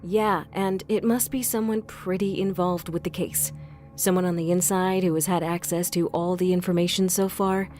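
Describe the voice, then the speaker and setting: A determined female investigator played by a voice actress in an audio crime drama. Her voice sits slightly low and she lays out facts in a measured, methodical way. Faint music plays underneath. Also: steady tone, darkish tone, natural voice. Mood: measured, serious. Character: determined young woman vigilante investigator, level-headed and methodical.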